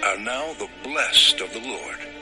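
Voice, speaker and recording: An imperious ancient king declaims with a very lively, swooping pitch. The male voice is emphatic and theatrical in the style of audio scripture drama, with music behind it. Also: a little slow. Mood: formal, conciliatory. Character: Abimelech, an imperious, indignant Philistine king, male.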